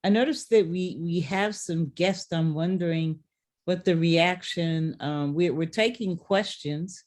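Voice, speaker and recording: A middle-aged host leads a post-show talk at an unhurried pace. The delivery is reflective and halting, with words often repeated while searching for the next thought. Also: medium pitch, darkish tone. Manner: reflective, halting with word repetitions. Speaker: theater director and discussion host.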